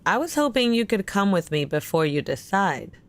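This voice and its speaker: A woman playing a worried, caring and suspicious character in an audio drama, speaking naturally and earnestly with gentle insistence. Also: mid-range voice, very animated, moderate pace. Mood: pleading.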